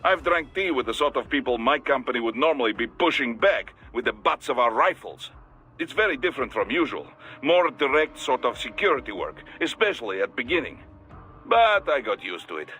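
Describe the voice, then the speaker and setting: A male actor playing a self-assured private military contractor in a video game, explaining things matter-of-factly in a husky, forceful voice. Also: medium pitch, big pitch swings, brisk, heavily acted.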